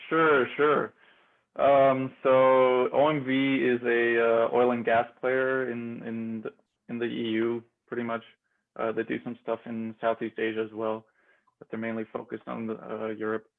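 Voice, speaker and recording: Gravelly young man on a tinny line. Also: medium pitch, flat delivery, a little slow. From Austria. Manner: hesitant, many fillers and restarts. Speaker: guest, MBA grad student. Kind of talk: casual interview.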